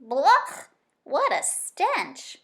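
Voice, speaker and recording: A woman's voice: sits high, wildly swooping pitch, extremely slow, voice pushed up, heavily acted. A woman voicing a hungry male badger in a storybook, grumbling with exaggerated disgust.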